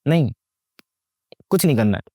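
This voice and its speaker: A man's voice: mid-range voice, big pitch swings, normal speed, dark tone, dry recording, studio quality. A young man giving casual, sarcastic movie commentary, slangy and mocking, full of rhetorical questions and mixing English into his Hindi. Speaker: YouTube movie reviewer.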